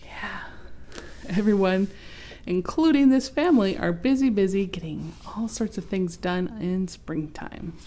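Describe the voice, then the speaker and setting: A woman reading a children's picture book aloud as host, expressive, with explanatory asides. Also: medium pitch, a little slow, a little hiss or hum.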